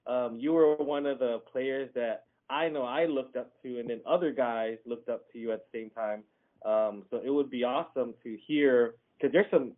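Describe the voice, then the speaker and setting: Rambling man interviewing over a phone. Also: medium pitch. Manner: friendly, rambling, chuckles, says "man" a lot. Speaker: interviewer, host. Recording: tinny phone line with noise behind.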